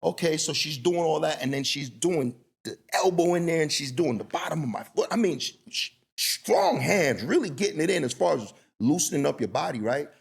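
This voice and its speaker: A middle-aged man with a gravelly, slightly husky voice hosts a podcast. He rambles through stories in a loose, casual way and keeps checking in with "you know what I mean.". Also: a little high, big pitch swings, brisk, few pauses. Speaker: podcast host. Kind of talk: casual conversational interview.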